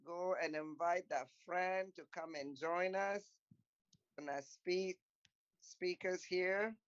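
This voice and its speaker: A woman's voice: on the low side, slow, no room sound, highs cut off. Chatty woman hosting an online panel. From Congo. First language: French. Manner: chatty, encouraging, thinks aloud while typing. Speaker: host and moderator of an online panel. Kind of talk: casual hosting of an online talk.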